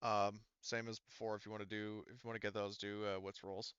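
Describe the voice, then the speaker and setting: A man acting as game master in casual tabletop talk, haltingly thinking aloud as he explains. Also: fairly low pitch, flat delivery, a bit bright, smooth, no room sound, lo-fi sound.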